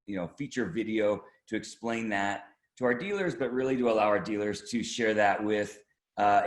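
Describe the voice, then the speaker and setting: A man with a fairly low voice answers interview questions about his company's work. He talks in a halting, conversational manner, feeling his way through explanations. The sound is quite roomy and echoing. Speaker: marketing director being interviewed. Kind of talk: product preview interview.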